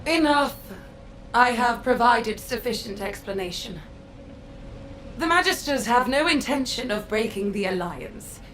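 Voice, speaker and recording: A woman's voice: fairly high pitch, animated, unhurried, a bit bright, slight background noise, poor audio. A woman playing a condescending council Magister in a video game, with a haughty, formal, clipped delivery. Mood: curt, firm.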